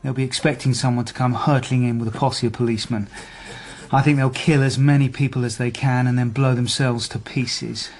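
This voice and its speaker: An actor playing a weary, methodical middle-aged police detective, slightly husky, measured and understated, in naturalistic radio drama style. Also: male voice, medium pitch, steady tone, darkish tone, slight background noise, close to an everyday voice. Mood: matter-of-fact, planning. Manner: measured, matter-of-fact, understated.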